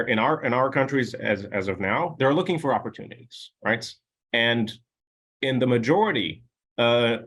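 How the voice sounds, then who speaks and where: A man co-hosting a casual podcast discussion, arguing animatedly and emphatically, with rhetorical questions and quick "no, no, no" asides. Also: medium pitch, a bit bright. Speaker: podcast co-host.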